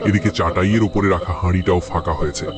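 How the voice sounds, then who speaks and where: A man's voice: low voice, fairly even intonation, fast talker. A man narrating a horror cartoon story in a grave, suspenseful way.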